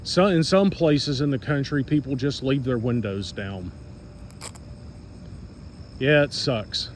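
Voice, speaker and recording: A man's voice: mid-range voice, slow pace, a little hiss or hum. An American man telling a personal story casually to followers, in a blunt, sarcastic, plain-spoken manner. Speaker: storyteller recounting own experience to followers.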